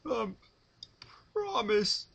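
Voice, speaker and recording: Man putting on a high, tearful, terrified female pony. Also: very animated, creaky, a bit bright, husky, full character voice. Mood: tearful pleading. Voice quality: dry, strained throat. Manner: halting, stammering, gasping. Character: terrified dying female pony, weak and pleading.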